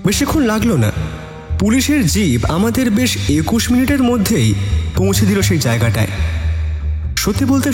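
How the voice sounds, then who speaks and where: Expressive male storyteller, echoing voice. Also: fairly high pitch. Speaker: narrator. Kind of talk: dramatic scripted first-person story narration. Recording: reverberant voice with added echo. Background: faint music.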